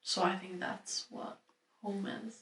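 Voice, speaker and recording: Slow, high-voiced Swedish-born man. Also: flat delivery, bright, forceful tone. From Sweden. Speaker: interviewee. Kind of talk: reflective interview answer. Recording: echoey room, distant mic.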